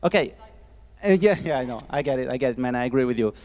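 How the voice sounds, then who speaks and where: A man from Italy hosting a casual festival discussion, playful and quick to laugh, talking into a handheld mic with many fillers. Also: medium pitch, a little mellow. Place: festival hall with audience. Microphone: handheld microphone. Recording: reverberant hall.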